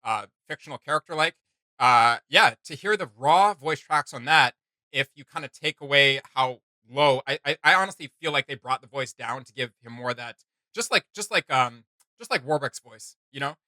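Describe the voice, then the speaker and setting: Bright-voiced man rambling through a casual reaction. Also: mid-range voice, animated, moderate pace, no room sound. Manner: rambling, thinking aloud. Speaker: reaction video host.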